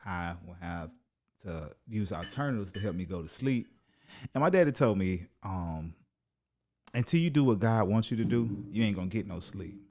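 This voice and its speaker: A man in his thirties hosting a podcast, chatting casually and profanely, laughing often. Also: fairly low pitch. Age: 30s. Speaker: podcast host. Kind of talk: casual group chat.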